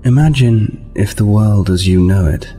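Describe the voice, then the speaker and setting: A man's voice: on the low side, a little slow, dark tone. A man narrating a scripted trailer in a dramatic style. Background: faint music.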